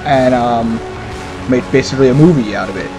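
A man's voice: mid-range voice, brisk, low-quality recording. Young male creator, earnest and hesitant, music bed. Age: young adult. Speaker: series creator. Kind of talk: casual interview.

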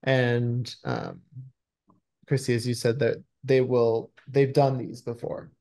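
A man in his thirties speaks on a video call in a conversational interview style. He is effusive and thoughtful, often searching for the right word. His pitch sits in the middle of the male range. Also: little pitch movement, takes it slowly, darkish tone. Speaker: screenwriter being interviewed. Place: indoors in a room at home, on a video call. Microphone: computer video-call microphone.

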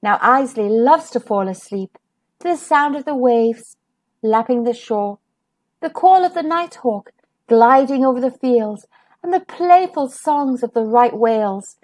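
A woman reading a children's picture book aloud as a storyteller, with expressive delivery that draws out and stresses key words. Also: a little high, measured pace. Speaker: storyteller, host of a children's read-aloud show.

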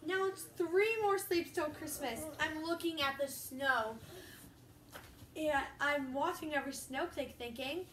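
Young girl reading a kids book for a vlog, high voice, echoey room. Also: a little slow, fairly bright tone. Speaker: host and storybook reader. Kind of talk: casual vlog and read-aloud storytelling. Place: echoey room, some distance from mic. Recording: roomy echo, faint background noise.

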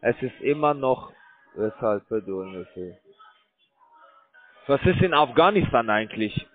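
A man calling from a call center, rambling in a bored, crude, halting way. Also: medium pitch, animated, faint music behind. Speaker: call center scam caller. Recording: dry tinny phone line.

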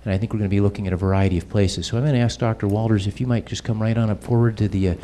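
A middle-aged man, a pastor, introducing a guest speaker in a church hall, unhurried and pausing with fillers, holding a handheld mic. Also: lowish voice, fairly even intonation, warm tone.

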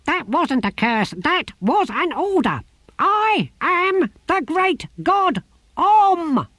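Tortoise god, high pitched, imperious, commanding, cursing, storybook. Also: male voice, big pitch swings, slow, dry recording, clean studio sound, heavily acted. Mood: imperious, furious. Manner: shouting commands and curses. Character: small old tortoise god, imperious, furious.

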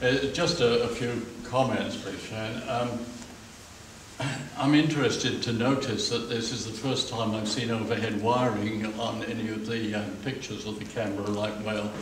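A man from the audience asking pointed questions in a loud voice, reeling off cost figures. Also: on the low side, steady tone, normal speed, slight background noise. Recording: very echoey hall from far off.

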